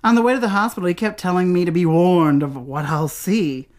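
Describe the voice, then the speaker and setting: An American woman with a low-pitched voice tells a personal story as a spoken-word piece. Her delivery is theatrical, shaped by dramatic pauses and weighted emphasis on key words. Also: darkish tone.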